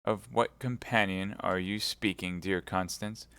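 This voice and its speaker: A man's voice: low voice, fairly even intonation, unhurried, fairly bright tone, smooth, no room sound. A man voicing a devoted young soldier who cries out urgently for help. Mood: alarmed. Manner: crying out, urgent.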